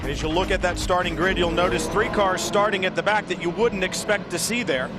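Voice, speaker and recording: High-voiced male race announcer. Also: brisk, loud music behind the voice. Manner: smooth hand-offs, rising to excited calls at the start. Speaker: lead play-by-play announcer. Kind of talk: race broadcast commentary.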